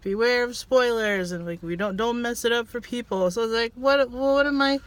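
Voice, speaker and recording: A woman co-hosting a casual film review chat, speaking haltingly with many fillers, often trailing off and restarting. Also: a little high. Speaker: vlog co-host reviewing a film.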